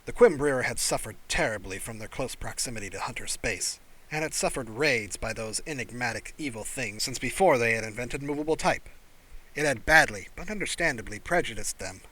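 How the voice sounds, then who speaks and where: Male audiobook narrator, bright forward tone, mid-pitched, scripted fiction read. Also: expressive, fairly quick, low-quality recording. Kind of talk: scripted story narration with character dialogue.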